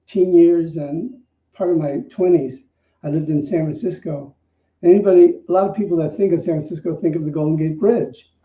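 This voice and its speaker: Echoey, distant man addressing a congregation. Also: a little high. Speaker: minister and service host. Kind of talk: informal spiritual talk to a congregation. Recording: distant, echoey, dull room recording.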